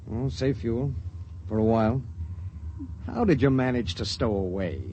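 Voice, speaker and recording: An actor playing a grim, conscience-torn spaceship pilot in 1950s radio drama style. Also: male voice, medium pitch, unhurried, mellow, lightly acted. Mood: brooding. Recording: noisy, dull 1950s radio recording.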